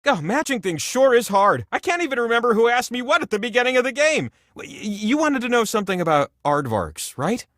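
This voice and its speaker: A man's voice: extremely high pitch, sing-song, fairly quick, few pauses, fairly bright tone, dry recording, studio quality, exaggerated voice. A man voicing a chatty, vain living wheel that hosts a comic video game show in a theatrical, quirky way. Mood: chatty, whimsical. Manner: theatrical, quirky, playfully self-important. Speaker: game host.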